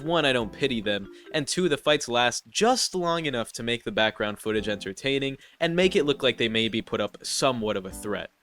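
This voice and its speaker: A man's voice: mid-range voice, fairly bright tone. Congested man roasting players sarcastically. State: congested, nasal from allergies. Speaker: gaming YouTuber. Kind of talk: scripted voice-over commentary.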